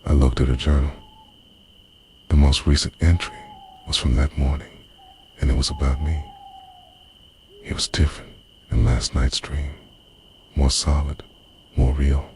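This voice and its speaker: A man narrating a scripted first-person ASMR story as a lovesick, obsessive lover, in a breathy, half-whispered, intimate delivery. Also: very deep voice, flat delivery, unhurried, pauses often, dark tone, slight background noise. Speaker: audio roleplay performer.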